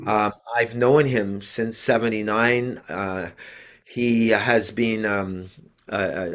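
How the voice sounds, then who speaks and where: An older man, a devotee preacher and host, addressing a religious gathering in a deliberate, earnest speaking style. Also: on the low side. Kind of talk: read-out sermon, then informal introduction.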